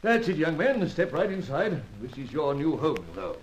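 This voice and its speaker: An actor playing a blustery upper-class British spymaster in an old radio serial, pompous and formal, with a husky voice. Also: male voice, on the high side, big pitch swings, moderate pace, dull audio, slight background noise. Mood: brisk, worried. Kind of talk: old-time radio adventure serial acting.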